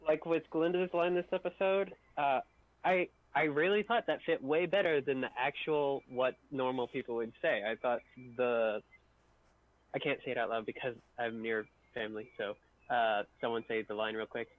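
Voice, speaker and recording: Male stream co-host and editor, casual conversational delivery, mid-range pitch, heard over a narrow tinny call line. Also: steady tone, moderate pace, no room sound. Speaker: video editor of a fan abridged series, stream co-host. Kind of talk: casual group chat about editing.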